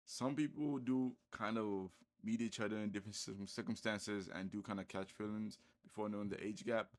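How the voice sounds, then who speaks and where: A man's voice: on the low side, fairly even intonation, moderate pace. A man giving casual commentary to his viewers, loose and conversational, calling them bro. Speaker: commentary YouTuber.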